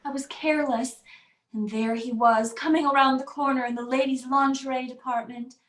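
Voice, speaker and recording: A woman's voice: high voice, steady tone, normal speed, natural voice. An actress playing a timid, naive young servant woman in a radio drama, speaking with fearful, innocent earnestness. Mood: dreamy. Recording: roomy, echoing voice track.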